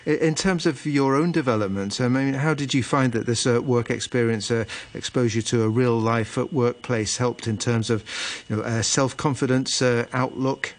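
Man co-host asking measured questions. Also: mid-range voice, steady tone, a little hiss or hum. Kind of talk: radio interview.

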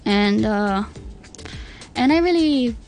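A teenage girl shares a personal story in a soft, hesitant voice, pausing as she goes. Faint music plays underneath her. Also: fairly high pitch, lively intonation. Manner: soft, hesitant, slow pauses. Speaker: student guest.

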